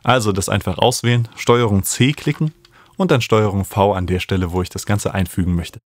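A man, explaining music software as an instructor in a tutorial. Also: on the low side, a little fast, no echo, clean studio sound. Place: small home studio, indoors. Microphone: studio microphone up close.

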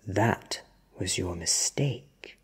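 A performer voicing an ancient, powerful vampire who speaks with cold, deliberate menace. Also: medium pitch, expressive, husky, full character voice. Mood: cold interrogation.

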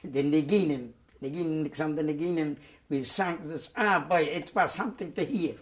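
A man, giving oral history testimony in a halting way, with many repeated words and restarts. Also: fairly high pitch. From Poland. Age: 90s. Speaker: Holocaust survivor giving testimony. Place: dark studio set, indoors.

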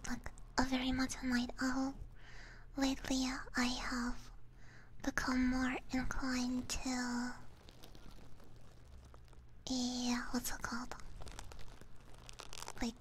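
A woman whispering hushed, casual ASMR chat to viewers, halting and close on a binaural microphone. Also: sits high, low-quality recording. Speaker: ASMR streamer. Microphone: close binaural ear microphone. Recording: clear background noise.

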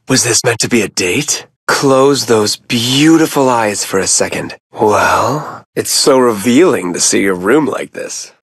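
A man voicing a devoted, smooth-talking young nobleman in a fantasy game, suave and expressive. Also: medium pitch, measured pace, no echo. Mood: teasing. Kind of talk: game voice acting.

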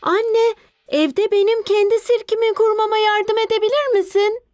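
A woman's voice: very high voice, normal speed, a little mellow, no room sound, studio quality. A woman voicing an eager, curious little preschool boy in a cartoon. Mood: curious, hopeful. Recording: music under the voice.

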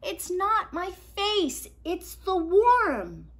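An earnest young boy from a picture book, read by a woman, speaks in a high, bright voice. He explains himself with insistence, swinging his pitch as he stresses his words. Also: huge pitch swings, very slow, exaggerated voice. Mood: exasperated, earnest. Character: Nico, earnest young boy who loves drawing.

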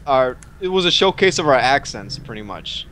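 A man's voice: medium pitch, sing-song, normal speed, background noise. A man with an American accent hosting a casual podcast chat, talking with lots of fillers and restarts and laughing often. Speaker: podcast host. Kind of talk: casual group chat over voice call.

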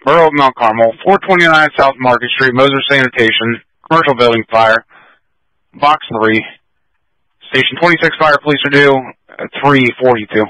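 A man's voice: mid-range voice, normal speed, sharp tone, dry recording, poor audio. An American man working as an emergency dispatcher, making formal announcements over two-way radio.

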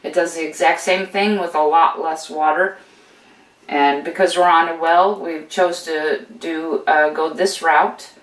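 A woman's voice: low-pitched, fairly even intonation, a little slow, distant mic. Chatty older woman in an echoey kitchen. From United States. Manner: chatty and encouraging, full of fillers and restarts. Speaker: homesteading channel host. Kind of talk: casual how-to demonstration. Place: home kitchen with steel counters, indoors. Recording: roomy echo, voice off-mic.

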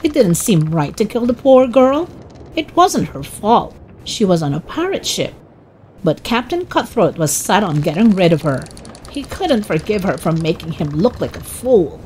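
A woman reading a children's chapter book aloud as narrator, expressive, dramatic and deliberate. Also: mid-range voice, slight background noise, rough recording. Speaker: children's story reader. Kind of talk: expressive scripted storybook narration.

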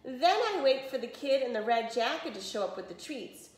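A woman's voice: fairly high pitch, lively intonation, moderate pace, lightly acted. A woman voicing a sly, greedy male storybook wolf in a crooning, scheming, theatrical way. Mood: gleeful scheming. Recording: roomy echo of a small room.

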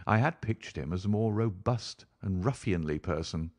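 A man's voice: deep voice, lively intonation, moderate pace, a little mellow. A man voicing a keen, commanding detective in an audiobook, assured, precise and theatrical, with dry amusement. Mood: dryly musing. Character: keen, commanding male detective, dryly amused and relishing the hunt. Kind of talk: audiobook character voice.

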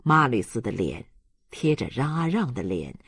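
An audiobook narrator reading a novel aloud in a measured, dramatic storytelling manner. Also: mid-range voice, sing-song, creaky, fairly bright tone, breathy edge, dry recording, clean studio sound.

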